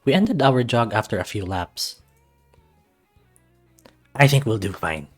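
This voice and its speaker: A man's voice: on the low side, animated, a little slow, soft background music. A man reading a visual novel aloud as a first-person narrator. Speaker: let's-play reader.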